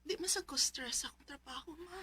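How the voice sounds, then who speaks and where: A woman's voice: high-pitched, fairly quick, pushed and bright. An actress with a Filipino Bisaya accent playing a dutiful, suffering daughter in her twenties, emotive and affectionate, in a radio drama. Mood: reassuring. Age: 20s.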